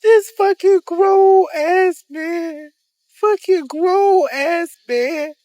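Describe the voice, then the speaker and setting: A distraught mother cries and rants, her voice pitched very high and her words coming slowly and heavily. She curses and repeats herself through the sobs, and the sound is close and dry, with no room echo. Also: dark tone. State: crying. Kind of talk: emotional vlog rant.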